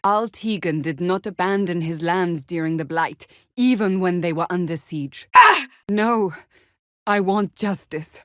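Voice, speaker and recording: A stern fantasy game warrior woman with a lowish voice and a dry, close sound. She is blunt and devout, speaking in formal, clipped phrases with deadpan exasperation. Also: dry recording. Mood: fierce, exerted. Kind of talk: fantasy video game voice acting.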